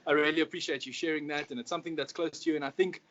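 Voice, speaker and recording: A man's voice: a little high, steady tone, rapid-fire, fairly bright tone. A South African man interviewing casually as a football coach, effusive and matey in his encouragement. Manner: effusive, matey, calls guest bro. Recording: dull lo-fi sound with clear noise.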